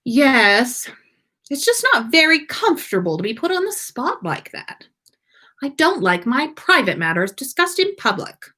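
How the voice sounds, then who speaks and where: A woman's voice: high-pitched, very animated, unhurried, sharp tone, voice pushed up, heavily acted. A woman reading a storybook part as a guarded, prickly young man with a dry, teasing manner. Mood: prickly, uneasy. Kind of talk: storybook read-aloud of fan fiction.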